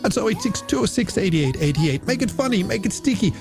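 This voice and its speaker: Man, late-night radio host, playful flowery patter, animated intonation, crackly fry, studio mic, music underneath. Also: sits high, quick pace. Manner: playful, flowery patter with punchy alliterative wordplay. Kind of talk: late-night music radio presenting, reading out listener messages. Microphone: studio microphone on a stand.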